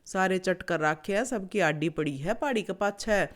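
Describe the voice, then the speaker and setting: A woman's voice: medium pitch, moderate pace, natural voice. A woman voicing a plucky young male crab in a folk tale, direct and folksy, with a Haryanvi accent. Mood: defiant.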